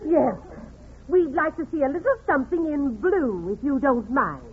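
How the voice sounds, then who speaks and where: A woman's voice: sits high, expressive, normal speed, narrow, tinny audio, a crowd in the background. A woman playing a bossy, domineering wife in 1940s radio comedy, curt and commanding. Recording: very noisy, band-limited 1940s radio, audience behind.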